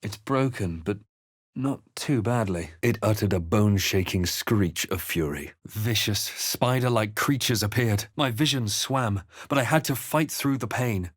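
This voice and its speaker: A male adventurer in a fantasy game, grave and watchful. His voice sits fairly low and he tells each moment with measured, hushed drama. The sound is very dry. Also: lively intonation, dry recording. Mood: grave, haunted. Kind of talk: scripted game narration and character lines.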